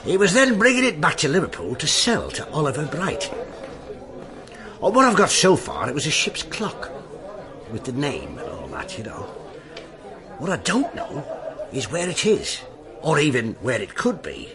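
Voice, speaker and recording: An actor playing a brisk police inspector in a radio drama, with clipped, emphatic, streetwise phrasing. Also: male voice, fairly high pitch, huge pitch swings, a crowd in the background. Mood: intent, confiding. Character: brisk male police detective inspector hunting a relic.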